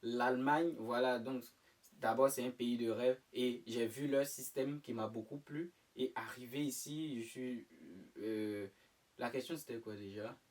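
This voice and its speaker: A man's voice: medium pitch, steady tone, measured pace, distant mic. A young man from Côte d'Ivoire, answering interview questions in a casual, hesitant way with many fillers and restarts. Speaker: interview guest.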